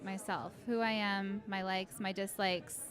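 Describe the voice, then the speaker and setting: A woman speaks informally in a mid-pitched voice. She reflects out loud in a loose, rambling way, as if answering an open interview question. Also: fairly even intonation, measured pace, a crowd in the background.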